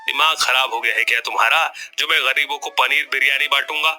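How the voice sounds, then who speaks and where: A man's voice: medium pitch, fairly quick, sharp tone. A man voicing a greedy, scheming street food vendor in a grumbling, wily way. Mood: indignant. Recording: music bed behind the voice.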